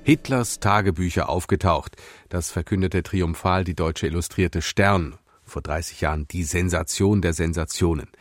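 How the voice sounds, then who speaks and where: A man presenting a radio news magazine, announcing items in a crisp, scripted way. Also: deep voice, normal speed, no room sound.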